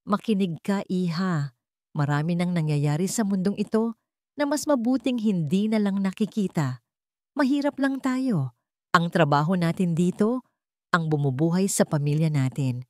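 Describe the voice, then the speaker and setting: A woman's voice: on the low side, animated, moderate pace, dry recording, studio quality, natural voice. A woman voicing a kindly but fearful elderly cook in a dramatic story, cautioning and resigned in manner. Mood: resigned warning.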